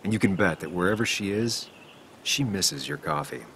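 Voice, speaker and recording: A low, earnest male voice in a story-driven game, gentle and measured. He sounds weary yet reassuring, with some noise behind him. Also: lively intonation, gravelly. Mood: somber, gentle. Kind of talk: dramatic video game acting.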